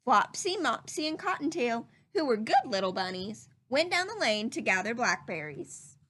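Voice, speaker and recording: A woman reading a children's story aloud in an instructive, teacherly manner, pausing to put questions to young listeners. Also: fairly high pitch, sing-song, a bit bright. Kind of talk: children's read-aloud narration.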